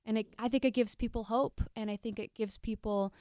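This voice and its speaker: A woman's voice: a little high, steady tone, fairly quick, warm tone, smooth, dry recording, clean studio sound. A woman speaking as a guest in a casual panel chat. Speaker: podcast guest, stage performer.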